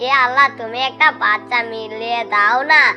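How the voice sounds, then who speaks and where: A woman's voice: fairly high pitch, a little fast, sharp tone, soft background music. A woman voicing a wistful ghost wife in a storybook cartoon, plaintive and beseeching in manner. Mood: sad, pleading. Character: wistful, pleading ghost wife longing for a child.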